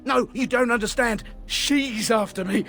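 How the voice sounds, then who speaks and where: A man's voice: extremely high pitch, measured pace, pushed and bright, full character voice. A man playing a terrified, desperate bandit in a video game, frantic and pleading. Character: panicked, fleeing male bandit, terrified and desperate. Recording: music behind the voice.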